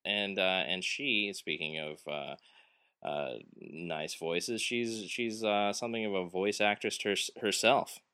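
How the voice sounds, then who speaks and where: Dude hosting a podcast, kinda low and bright, jokey and rambly, lots of uhs. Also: male voice, takes it slowly, clean tone, dry recording. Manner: joking, rambling with many fillers. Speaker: podcast host. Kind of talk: casual podcast chat.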